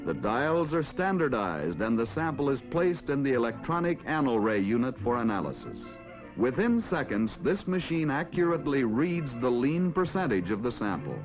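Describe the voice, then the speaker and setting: An American man reading scripted narration for a mid-century educational film in a formal, measured announcer style. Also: mid-range voice, sing-song. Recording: dull vintage film soundtrack with music. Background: background music.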